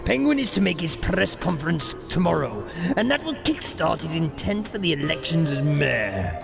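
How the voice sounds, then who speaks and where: An actor playing a giggling, theatrical scheming crime boss in a fan-made superhero audio drama, with drawn-out delivery. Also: male voice, a little high, very animated, vocal fry, music in the background, heavily acted. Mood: gleeful scheming. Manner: theatrical, drawn-out, laughing between phrases.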